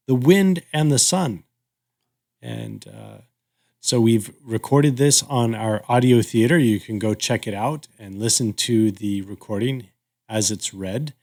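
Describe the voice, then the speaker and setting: Male podcast host with a creaky voice. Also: medium pitch, a little mellow. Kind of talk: casual podcast conversation.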